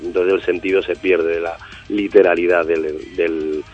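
Hombre de voz muy grave y ronca, con música de fondo. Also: male voice, deep voice, little pitch movement, moderate pace, a little mellow, muffled recording, soft background music. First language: Spanish. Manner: thoughtful, rambling with fillers and restarts. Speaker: singer of a band, interview guest. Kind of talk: relaxed conversational interview answers.

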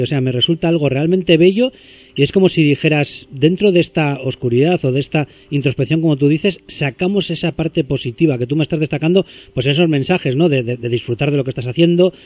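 A man from Spain hosts a radio interview, his voice a touch higher than most men's. He speaks quickly and strings his questions out at length, with plenty of fillers along the way. The studio sound is dry and close. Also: darkish tone, no echo. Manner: long, winding questions with fillers.

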